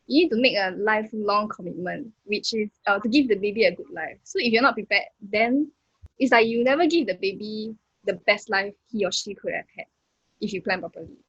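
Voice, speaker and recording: A woman's voice: mid-range voice, fairly quick, fairly bright tone, breathy edge, no echo, highs cut off. A woman in her twenties with a Singaporean accent, speaking carefully with many fillers as a panel guest. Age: 20s. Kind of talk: casual panel discussion.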